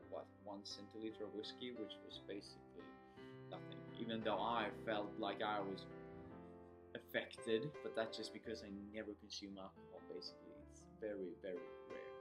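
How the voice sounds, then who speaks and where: Low-voiced young man rambling in a vlog. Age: young adult. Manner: rambling, self-correcting. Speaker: vlogger. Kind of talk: casual chat to camera.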